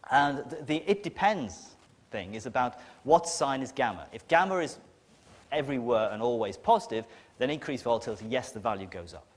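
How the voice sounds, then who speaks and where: A man lecturing on technical material, explaining step by step in a halting way with emphasis on key words. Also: medium pitch, lively intonation.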